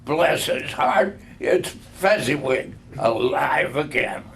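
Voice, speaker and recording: A man voicing an agitated, sentimental old man in a storybook reading, halting and full of exclamation. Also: medium pitch, expressive, vocal fry, a bit bright, a little hiss or hum, exaggerated voice. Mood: excited, wistful.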